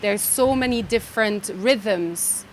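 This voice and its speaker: A woman's voice: mid-range voice, a little slow, a little hiss or hum. A woman from Belgium whose first language is Dutch, chatting reflectively and self-deprecatingly as an interview guest. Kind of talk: casual interview conversation.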